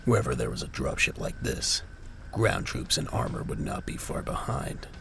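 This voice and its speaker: A man's voice: very deep voice, big pitch swings, normal speed, vocal fry, a bit bright, a little hiss or hum. A man giving scripted story narration for an audio drama as its narrator.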